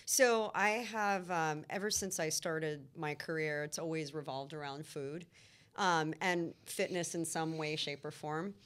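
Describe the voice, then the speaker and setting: A woman's voice: low-pitched, steady tone, measured pace, a bit bright. A middle-aged woman from Egypt, talking casually as a guest chef, chatty with frequent ums and laughs. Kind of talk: casual interview chat.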